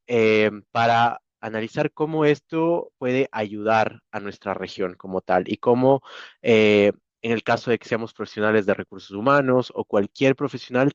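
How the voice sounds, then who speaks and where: A man with an Ecuadorian accent giving an informal webinar presentation over a video call, halting with many hesitations. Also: medium pitch, dry recording. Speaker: guest speaker, human resources professional. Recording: dry, slightly dull online-call audio.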